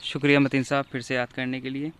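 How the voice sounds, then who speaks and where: A man's voice: medium pitch, little pitch movement, quick pace, a bit bright, slight background noise. A man speaking as a panelist, introducing poetry.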